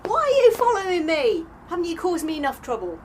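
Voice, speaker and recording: Woman playing streetwise British servant girl, animated pitch. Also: a little fast, noisy background. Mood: exasperated. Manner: blunt, slangy, sceptical. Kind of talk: audio drama acting.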